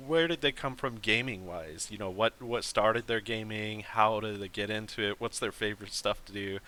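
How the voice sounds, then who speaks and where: A man's voice: fairly low pitch, pushed and bright. A man hosting a live stream, chatting casually with a guest in a rambling way full of fillers and restarts. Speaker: host.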